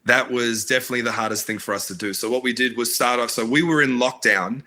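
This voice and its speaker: A man with a bright, forward tone and a mid-range voice talks earnestly in a casual interview. His speech is halting, full of fillers and restarts. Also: medium pitch, fairly even intonation. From Iraq, raised in Australia. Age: adult, married. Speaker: filmmaker guest.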